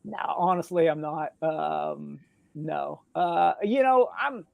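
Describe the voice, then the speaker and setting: A man with a squeaky voice chatting casually as a guest author on a podcast. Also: slow, creaky, no echo, studio quality. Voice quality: high, squeaky voice.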